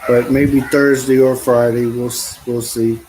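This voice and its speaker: A middle-aged man from the American Midwest chatting casually with viewers from the couch at home, easygoing and quick to laugh. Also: mid-range voice, flat delivery, darkish tone, slight background noise, rough recording. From United States. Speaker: vlogger. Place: living room at home.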